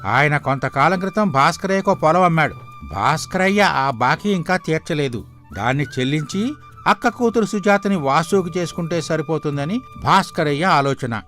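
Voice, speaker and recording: An actor playing a gossipy, disapproving village elder with a critical, sardonic manner in a moral story. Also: male voice, on the high side, animated, normal speed, fairly bright tone, soft background music. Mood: gossipy, pitying. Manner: critical, sardonic, sympathetic to the poor. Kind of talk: dramatised moral story dialogue.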